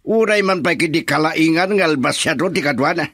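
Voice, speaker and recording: Actor as a stern, high-pitched Ilocano father. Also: a little fast, fairly bright tone. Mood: measured, serious. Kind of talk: radio drama dialogue.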